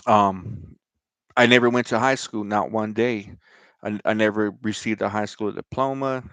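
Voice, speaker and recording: A man's voice: lowish voice, fairly bright tone, clear, resonant tone, no room sound. A middle-aged man from Denver with an American accent, reading scripture and talking informally about himself as host of his show. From Denver, Colorado, United States. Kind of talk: scripture reading and personal talk.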